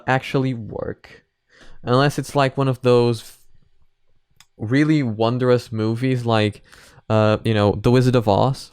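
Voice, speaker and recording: A man's voice: mid-range voice, clear, resonant tone, no room sound, clean studio sound. A man hosting a reaction video, giving casual commentary and thinking aloud in a hesitant, repetitive way.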